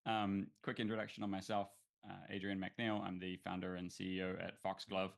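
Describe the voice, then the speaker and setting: A man with a New Zealand accent, a startup founder talking casually in an interview, relaxed and thoughtful with many fillers and restarts. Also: low-pitched, fairly quick, vocal fry.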